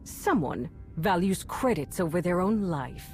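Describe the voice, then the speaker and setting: A woman voicing a calculating, coldly menacing warlord in a video game, measured, solemn and authoritative. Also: fairly low pitch, animated, darkish tone. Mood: cold, brooding. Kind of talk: dramatic video game monologue. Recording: music under the voice.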